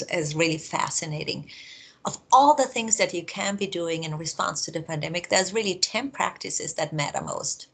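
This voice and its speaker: Husky-voiced woman with an Austrian accent. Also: on the low side, fairly bright tone. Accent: Austrian German. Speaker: research vice president. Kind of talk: prepared talk to camera. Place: home office.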